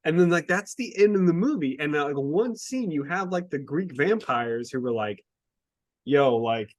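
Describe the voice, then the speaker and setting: A man co-hosting a casual book review podcast, talking in an animated, exasperated way and swearing casually. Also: on the high side, normal speed, no room sound, studio quality. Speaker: podcast co-host.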